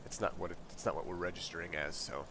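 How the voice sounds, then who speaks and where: A man's voice: low-pitched, quick pace. A congested man casually talking through a flight sim checklist as a streamer, rambling and reading each item aloud. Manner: relaxed, rambling, reads checklist items aloud. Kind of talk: casual walkthrough narration. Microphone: desk mic. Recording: noisy home mic recording.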